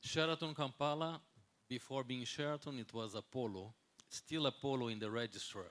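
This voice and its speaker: A man in his sixties, a non-native English speaker, gives an unscripted conference talk as a hotel executive, often pausing and repeating words as he searches for the next one. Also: medium pitch, fairly bright tone. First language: not English. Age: 60s.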